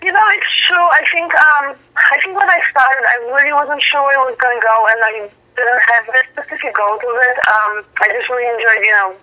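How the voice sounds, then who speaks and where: Bright-voiced woman in her thirties, pausing often. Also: high voice, poor audio. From Poland. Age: 30s. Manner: thoughtful, with frequent "um" pauses. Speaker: professional boxer and guest. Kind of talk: interview answers.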